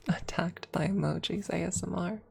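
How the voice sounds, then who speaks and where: A woman streamer speaking softly and breathily in an ASMR style, close to the microphone, often slipping toward a whisper. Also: on the low side, steady tone, measured pace, gravelly, breathy edge. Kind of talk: ASMR, casual chat and soft story reading.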